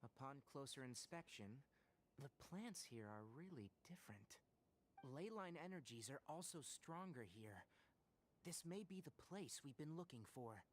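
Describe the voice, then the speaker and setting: An actor playing a calm, earnest young forest ranger in a game, speaking in a steady, instructive way. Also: male voice, mid-range voice, normal speed, slight background noise, natural voice. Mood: serious. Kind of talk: game dialogue.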